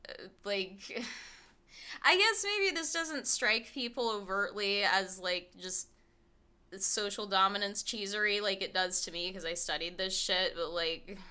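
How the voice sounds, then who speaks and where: A woman streamer thinks out loud to her audience, her voice bright and light with little bass behind it. She swears easily, circles back on her thoughts and laughs through her sentences. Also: a little slow, smooth. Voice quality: small light voice without much bass. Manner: candid, profane, rambling, laughs often. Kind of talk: casual chat with stream viewers.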